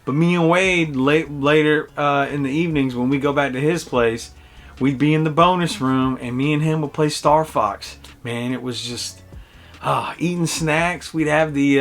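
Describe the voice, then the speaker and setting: A man's voice: a little high, unhurried, fairly bright tone. A middle-aged man hosting a casual, personal game review, talking in a nostalgic, enthusiastic storytelling way. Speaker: video game reviewer and host. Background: music under the voice.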